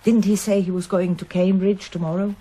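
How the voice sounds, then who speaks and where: A woman's voice: mid-range voice, level tone, fairly quick, warm tone, husky, natural voice. An actress playing an anxious, reserved middle-aged wife and mother in a radio drama, wistful and guarded. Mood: quietly anxious. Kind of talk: radio drama acting, with first-person narration.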